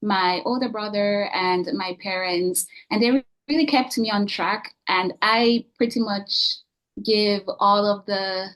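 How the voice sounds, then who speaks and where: A woman's voice: medium pitch, fairly bright tone, low-quality recording. Earnest woman giving a welcome. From Jamaica. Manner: earnest, halting as she searches for words. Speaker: organisation ambassador giving greetings. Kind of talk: informal welcome speech.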